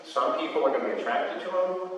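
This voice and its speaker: A man's voice: on the high side, fast talker, lo-fi sound. A man giving an informal public lecture as a guest speaker, recorded in a hall. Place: lecture hall, distant microphone. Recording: echoey hall from far off, faint noise.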